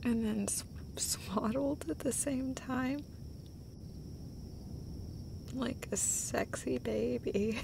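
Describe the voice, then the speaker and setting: A woman performing an intimate comfort roleplay as an affectionate girlfriend, speaking tenderly and emotionally in a halting way that often drops toward a breathy whisper. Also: a little high, background noise. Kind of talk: intimate comfort roleplay, acted as one side of a conversation.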